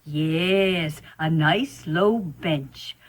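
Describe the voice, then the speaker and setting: A woman playing a kindly, reassuring nursemaid in a children's storybook play, gently instructing and indulgent. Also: sits low, extremely slow, full character voice. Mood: kindly, instructive.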